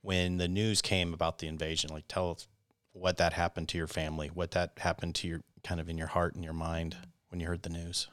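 A man's voice: low-pitched, flat delivery, brisk, hardly any pauses, fairly bright tone, no room sound, studio quality. A man hosting an interview, asking curious, short prompting questions. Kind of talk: casual interview.

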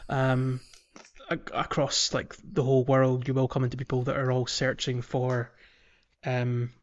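A man's voice: medium pitch, completely flat delivery, a little slow, highs cut off. A man hosting a casual video game playthrough podcast, explaining things in a hesitant, rambling way. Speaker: podcast host.

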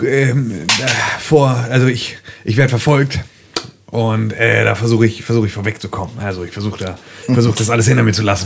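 A man's voice: lowish voice, a little hiss or hum, close to an everyday voice. A man playing a paranoid, hunted dental technician in a tabletop game, rambling nervously and repeating himself. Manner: nervous, rambling, stammering repetitions.

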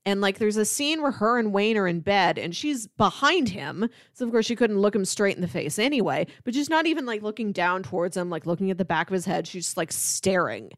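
A woman hosts a casual film discussion in a medium-pitched voice. She tends to act out imagined lines as she explains her points. The sound is close and dry. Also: animated, fairly quick, few pauses, dry recording. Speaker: film discussion podcast host.

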